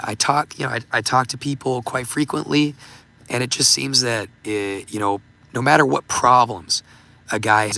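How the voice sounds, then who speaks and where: Hoarse gravelly man rambling on a livestream. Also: on the low side, sharp tone. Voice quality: gravelly, voice giving out. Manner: rambling, halting, many fillers and restarts. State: hoarse, losing his voice. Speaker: livestream host. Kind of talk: casual livestream talk reading super chats.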